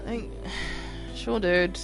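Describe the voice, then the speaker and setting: Mumbling, monotone game streamer. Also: medium pitch, very slow, creaky. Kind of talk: casual chat while gaming. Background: game music.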